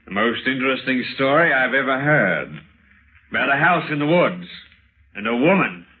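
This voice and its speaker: A man's voice: mid-range voice, big pitch swings, slight background noise, lightly acted. Man acting a menacing avenger, tinny old radio. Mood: smooth, probing. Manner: deliberate, theatrical, well-spoken. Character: vengeful husband, educated lawyer, coolly menacing and obsessed.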